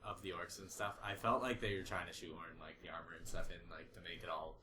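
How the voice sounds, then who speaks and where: A man's voice: low-pitched, level tone, fast. A man giving his opinions in casual, slangy podcast chat, swearing now and then. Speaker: podcast panelist. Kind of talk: casual chat about games. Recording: echoey room, faint background noise.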